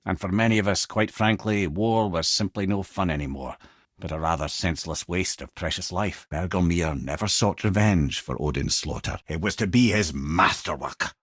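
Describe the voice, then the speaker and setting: An actor playing a witty, middle-aged talking head of an old sage in a video game, with a Scottish accent and a wry storyteller's manner. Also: male voice, low-pitched, normal speed, a bit bright, slightly rough, dry recording, studio quality. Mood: thoughtful. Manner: wry, loquacious storyteller, avuncular.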